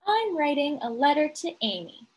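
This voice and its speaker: A woman's voice: high voice, sing-song, normal speed, a little mellow. A woman voicing an earnest young boy from a picture book, announcing his plans.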